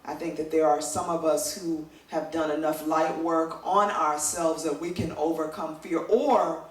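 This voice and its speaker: An older woman on a discussion panel, telling stories in an animated way with a big, full voice. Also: low-pitched, measured pace. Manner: animated storytelling. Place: event hall. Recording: echoey room, distant mic.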